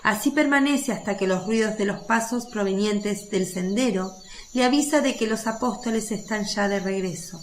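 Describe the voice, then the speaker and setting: A woman's voice: mid-range voice, moderate pace. A woman narrating a religious radio drama in a descriptive, literary reading style. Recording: faint background noise of birds and crickets.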